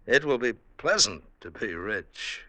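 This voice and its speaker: Forceful, quarrelsome radio-drama elder brother. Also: male voice, medium pitch, sing-song, a little slow, no room sound. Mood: tempted. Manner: theatrical, formal storybook phrasing. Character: quarrelsome, greedy elder brother, an Indian farmer. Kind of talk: old-time radio drama acting.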